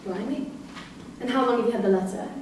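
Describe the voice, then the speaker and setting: A high-pitched woman's voice carries from far off in a heavily reverberant hall. An actress plays a weary prison staffer, firm and exasperated, in a play reading. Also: brisk, a little mellow, lightly acted. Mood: curious, brisk. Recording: distant echoey hall recording, faint noise.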